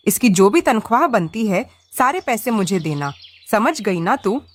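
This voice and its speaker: A woman's voice: mid-range voice, big pitch swings, measured pace. A woman voicing a greedy, bullying crow aunt in a children's cartoon, scolding and demanding. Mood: scolding, threatening. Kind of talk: animated children's story acting.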